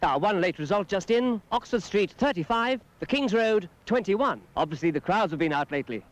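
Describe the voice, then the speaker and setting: An actor playing an upbeat television sports presenter in a spoof broadcast. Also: male voice, high-pitched, lively intonation, a little fast. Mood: brisk, upbeat. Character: brisk, upbeat television sports show host.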